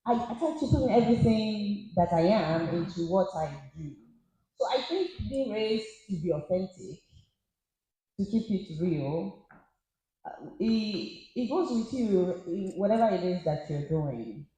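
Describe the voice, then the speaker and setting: A woman, speaking as a guest on a stage panel in an animated, laughing, storytelling way. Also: mid-range voice, a little slow. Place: large church auditorium. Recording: echoey hall.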